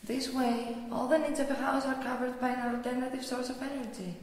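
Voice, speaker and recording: A woman plays an inquisitive, studious girl in an educational cartoon. Her voice is high and she explains things in a deliberate, read-out way. The recording rings with heavy echo and a long reverb tail. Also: steady tone, close to an everyday voice. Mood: explaining. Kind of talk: scripted dialogue in an educational animation. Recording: very echoey room, long reverb.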